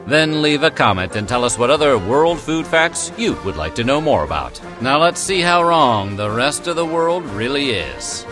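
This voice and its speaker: A man's voice: medium pitch, sing-song, normal speed, hardly any pauses. A man giving playful scripted narration with wry, jokey asides. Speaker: narrator of a food history video. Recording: music bed behind the voice. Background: background music.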